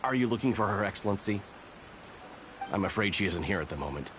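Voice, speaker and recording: An actor playing a dutiful, earnest, by-the-book soldier in a video game, speaking formally. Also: male voice, fairly low pitch, expressive, quick pace, a bit bright, faint music behind. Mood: earnest, helpful. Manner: formal, officious.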